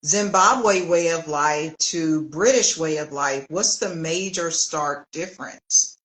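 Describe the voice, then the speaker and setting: A woman from the United States hosting a conversational interview, animated and fond of acting out quoted voices. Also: sits low, slow, rough recording. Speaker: host.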